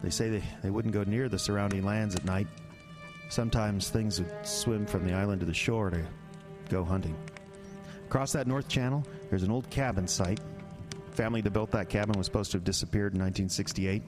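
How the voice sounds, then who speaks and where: A man's voice: on the low side, brisk, music in the background, close to an everyday voice. An actor playing a defensive, evasive middle-aged man in an audio drama, stammering and dismissive. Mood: uneasy storytelling.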